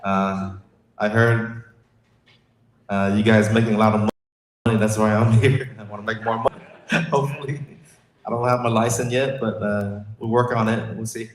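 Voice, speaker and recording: A man, a singer and restaurant manager, introducing himself in a loud, jokey, outgoing way. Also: fairly low pitch, flat delivery, normal speed, long pauses. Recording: echoey room, faint noise.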